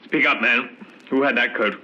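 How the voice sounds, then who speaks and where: An actor playing a tough, dutiful police sergeant in an old radio drama, blunt and businesslike. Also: male voice, medium pitch, steady tone, moderate pace, sharp tone. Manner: blunt, deferential to his superior. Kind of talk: 1950s radio drama acting. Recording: muffled old radio recording.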